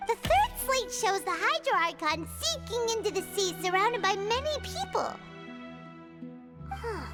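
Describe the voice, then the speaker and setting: A tiny, childlike fairy companion from a fantasy video game. The voice is extremely high and squeaky, bouncing widely in pitch. She is inquisitive and excitable, chattering over background music. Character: small excitable childlike fairy companion girl, talks in third person. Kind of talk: animated video game voice acting.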